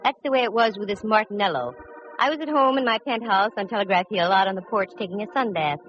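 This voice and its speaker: Actress as hard-boiled lady gumshoe, cool and quick with a quip; 1940s radio acting, muffled vintage sound. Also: medium pitch, lightly acted. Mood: wry narration. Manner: wry, brisk, hard-boiled wisecracks. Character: sharp-witted, wisecracking female private eye, cool and mercenary. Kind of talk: 1940s-50s radio detective acting and narration. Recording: dull vintage radio recording with music.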